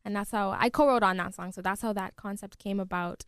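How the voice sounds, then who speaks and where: A woman's voice: medium pitch, steady tone, fairly quick, fairly bright tone, clean tone, no room sound. A fifteen-year-old girl from the Bahamas, chatting as a guest in a radio studio interview in a bubbly, giggly way. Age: teenager, 15. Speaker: guest singer. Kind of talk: casual interview.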